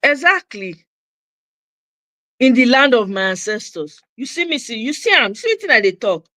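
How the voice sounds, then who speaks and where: A woman's voice: a little high, sing-song, moderate pace, bright, forceful tone, dry recording, clean studio sound. A Nigerian woman hosting a commentary channel, speaking in a passionate opinion monologue and repeating her points for emphasis, with Pidgin phrases in her accent.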